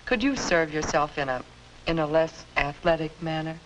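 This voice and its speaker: An actress playing a mild, gently correcting middle-aged American mother in a 1950s educational film. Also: low-pitched, moderate pace, muffled recording, natural voice. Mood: gently reproving. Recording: noisy 1950s film soundtrack.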